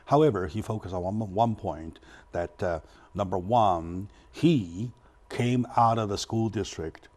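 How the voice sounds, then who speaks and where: A man hosting a community TV interview show, talking haltingly with many restarts and fillers. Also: on the low side, darkish tone. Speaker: talk show host.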